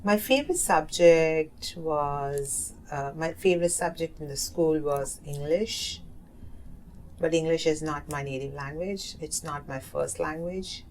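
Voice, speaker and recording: A woman's voice: low-pitched, measured pace, a little mellow, a little hiss or hum, rough recording. A woman in her thirties from South Asia, a native Hindi speaker, giving hesitant interview answers with many fillers and restarts. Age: 30s. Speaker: oral history narrator. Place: community center room.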